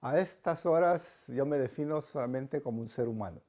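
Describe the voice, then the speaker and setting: A man's voice: mid-range voice, normal speed, warm tone. A middle-aged man, an architect, reflecting in an interview with many fillers and a touch of English. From El Salvador.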